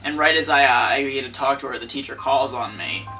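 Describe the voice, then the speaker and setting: Man putting on a low, hushed lovesick teenage boy. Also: fast talker, far from the mic, faint music behind, poor audio. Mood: earnest, brooding. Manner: hesitant, melodramatically earnest. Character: lovesick, self-serious teenage boy confessing a breakup. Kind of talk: mock dramatic reading of online post.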